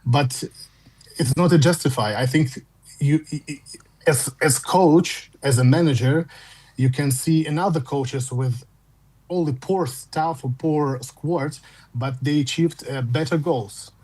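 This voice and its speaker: A man with a Russian accent, calling in to a fan show, speaking English as a second language in halting phrases with frequent restarts. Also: a little high, darkish tone. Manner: halting, repeats words and restarts while searching for English. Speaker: caller. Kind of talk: phone-in fan chat.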